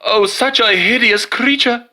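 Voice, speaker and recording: A man's voice: very high-pitched, unhurried, pushed and bright, soft background music, pitched above the performer's own voice, heavily acted. A man voicing a frightened colonial midwife in a storybook reading, urgent and fretful, with old-fashioned speech. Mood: trembling horror. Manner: stammering, breathless alarm in old-fashioned speech.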